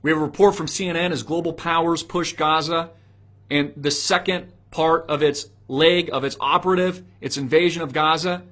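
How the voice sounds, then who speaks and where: A man's voice: a little high, fairly even intonation, normal speed, fairly bright tone. A man giving opinionated solo political commentary to viewers, speaking in an emphatic, preachy way with repeated rhetorical questions.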